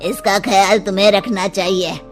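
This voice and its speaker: A woman's voice: mid-range voice, steady tone, normal speed, soft background music, voice pushed down, close to an everyday voice. Woman putting on a stern, curt cartoon boss. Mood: stern, demanding. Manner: curt, commanding. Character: stern, impatient office boss, curt and demanding.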